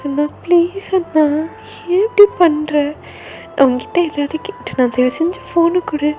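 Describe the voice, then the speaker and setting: A woman's voice: high-pitched, moderate pace, dark tone, faint music behind, close to an everyday voice. A woman voicing a despairing young woman who pleads tearfully to be taken away from home. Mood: begging.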